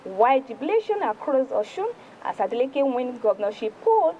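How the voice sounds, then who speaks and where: A woman with a Nigerian accent presenting a television newspaper review, reading stories aloud in an emphatic, deliberate way. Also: sits high, very animated, mellow, muffled recording, a little hiss or hum.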